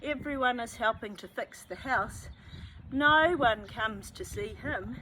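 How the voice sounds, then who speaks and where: A woman reading a children's story aloud expressively, with deliberate, dramatic phrasing. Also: on the high side, background noise. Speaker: storybook reader.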